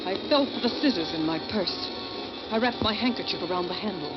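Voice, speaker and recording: A woman's voice: mid-range voice, animated, fast, close to an everyday voice. A muffled old radio recording with prominent music carries an actress as a desperate, embittered woman. She narrates her murderous thoughts in a brooding, dramatic confession, in the manner of a 1940s suspense broadcast. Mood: cold, desperate. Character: bitter, desperate failed actress secretly plotting murder. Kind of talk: 1940s radio thriller acting, first-person narration.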